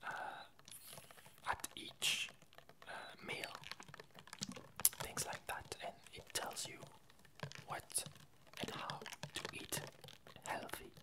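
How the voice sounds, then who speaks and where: A woman whispering a casual ASMR update, talking in halting, softly hesitant phrases. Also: rough recording. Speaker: ASMR video creator.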